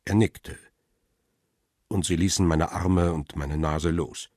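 An actor playing a world-weary photographer who narrates his ordeal in a radio crime play, sombre and deliberate. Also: deep voice, fairly even intonation, darkish tone, no echo, clean studio sound. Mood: sombre, intense. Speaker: first-person narrator. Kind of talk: dramatic scripted narration.

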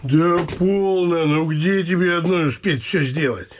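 A man's voice: a little high, measured pace, background noise, close to an everyday voice. An actor playing a fussy, homely old father in a period comedy radio play. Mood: fussy, pleased.

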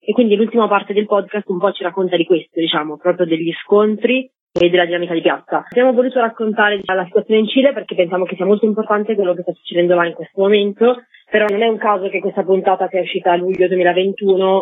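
A woman's voice: lowish voice, fairly even intonation, fast, dry recording. Woman in her twenties, explanatory radio guest, discursive phrasing, close dry sound, telephone-thin band. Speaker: podcast maker, radio guest. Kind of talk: explanatory interview answers. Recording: dry, tinny phone line.

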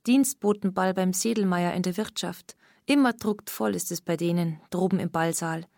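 An actress playing a forthright, gossipy witness with a Bavarian accent in a radio drama. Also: female voice, on the low side, moderate pace, creaky, a little mellow, dry recording, studio quality. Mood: chatty. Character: chatty, brisk Bavarian woman recounting as a witness.

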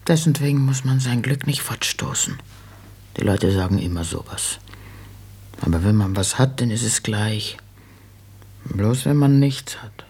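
Husky, creaky nagging old mother. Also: rumbling low, measured pace, long pauses, a little mellow, heavily acted. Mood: grumbling. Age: older. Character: nagging, money-minded old widowed mother, plain-spoken. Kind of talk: German radio play acting.

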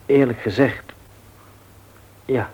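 Radio drama role: resigned, earnest lover, husky mid-pitched voice, halting delivery. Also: male voice, highs cut off. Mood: taken aback, hurt. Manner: halting, hesitant. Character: earnest, disappointed suitor, resigned and proud. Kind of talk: intimate radio drama dialogue.

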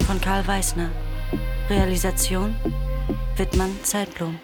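A woman's voice: medium pitch, flat delivery, slow pace. A woman announcing and narrating a scripted radio play. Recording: loud music under the voice.

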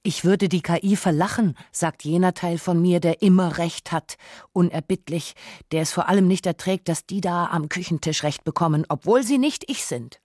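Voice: medium pitch, normal speed, darkish tone, no echo, clean studio sound, natural voice. A narrator with reading a scripted, essayistic radio feature in a pointed, literary manner.

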